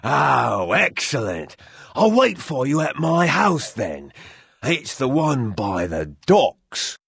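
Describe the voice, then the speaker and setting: RPG townsman: wily rustic dealer, low, husky, slow, very animated intonation. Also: no echo, heavily acted. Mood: wily, bargaining. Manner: colloquial, drawn-out bargaining delivery. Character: shrewd, rustic deal-making islander in fantasy town. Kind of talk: fantasy game character acting.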